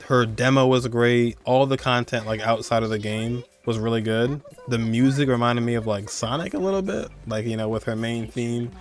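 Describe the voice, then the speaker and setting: A man's voice: mid-range voice, moderate pace, hardly any pauses, faint music behind. A man giving casual, slangy, conversational commentary as host of a video game countdown. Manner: slangy, conversational, chuckling asides.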